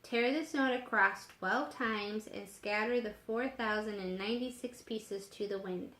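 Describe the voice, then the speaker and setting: A woman voicing an earnest, bookish young man with a deliberate manner in a story. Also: medium pitch, fairly bright tone, roomy sound, lightly acted.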